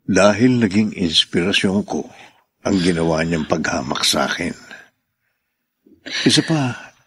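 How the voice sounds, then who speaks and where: A man's voice: low voice, lively intonation, a little slow, a bit bright, slightly rough, heavily acted. A man playing a tender, protective husband in a Filipino radio drama, speaking reassuringly.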